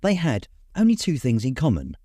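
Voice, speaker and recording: A man reading a memoir aloud as an audiobook narrator, in measured storytelling phrases. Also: medium pitch, wildly swooping pitch, dark tone, dry recording, clean studio sound. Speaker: audiobook reader. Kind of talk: scripted literary narration.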